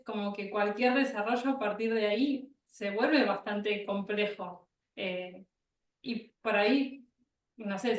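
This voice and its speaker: A woman defending her thesis, answering tentatively and thinking aloud. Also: mid-range voice. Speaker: thesis candidate. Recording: echoey room, distant from the mic.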